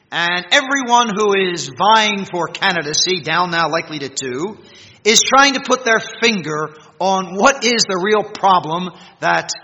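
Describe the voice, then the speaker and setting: An American man preaching a sermon in a church meeting hall, reading scripture and stressing words emphatically, swinging between loud peaks and quiet drops. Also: high voice. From United States. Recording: echoey hall, dull lo-fi sound.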